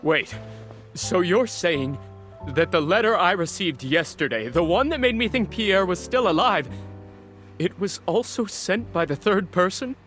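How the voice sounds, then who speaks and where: Hapless game crook, gullible and flustered, wide swinging intonation, faint music behind. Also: male voice, high-pitched, lively intonation, unhurried, fairly bright tone. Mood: dawning dismay. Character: hapless, gullible male criminal, easily flustered. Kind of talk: video game story voice acting.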